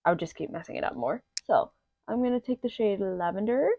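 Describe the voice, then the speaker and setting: A woman's voice: medium pitch, animated, a little fast, gravelly, dry recording. A young woman chatting through a makeup tutorial in a casual, filler-heavy way, sounding a little nasal from allergies. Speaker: beauty vlogger. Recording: slightly muffled dry home recording.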